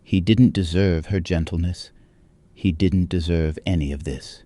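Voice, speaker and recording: A man narrating a scripted dramatic story in a measured, hushed, solemn manner. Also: low voice, dry recording.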